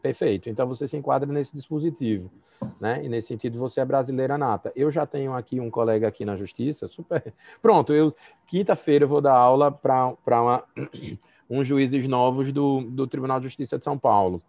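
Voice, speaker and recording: A man's voice: medium pitch, fairly quick, creaky, darkish tone, no echo. A Brazilian man, a law professor, giving a conversational university lecture and feedback over a video call, with frequent fillers and restarts.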